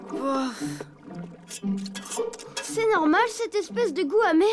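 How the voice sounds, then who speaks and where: A frank, scheming little cartoon child. The voice is extremely high and childlike, with music clearly behind it. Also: female voice, animated, slow, heavily acted. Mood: disgusted, worried. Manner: childlike, plain-spoken. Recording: music under the voice.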